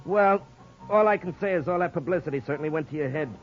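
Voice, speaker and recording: An actor playing a sardonic, needling police lieutenant in 1950s radio drama style, with a dry, ribbing, colloquial manner. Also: male voice, fairly high pitch, fast, lightly acted. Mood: ribbing, smug. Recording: muffled vintage radio broadcast with music.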